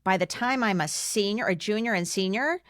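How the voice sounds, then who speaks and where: A woman's voice: mid-range voice, normal speed, a bit bright, dry recording, studio quality. A middle-aged woman co-hosting a casual podcast chat in an emphatic, blunt, opinionated way. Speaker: podcast co-host.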